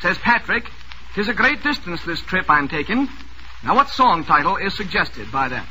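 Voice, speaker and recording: Man, high voice, genial joking quiz host, 1940s radio patter, hissy old broadcast. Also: big pitch swings, moderate pace, pushed and bright, poor audio.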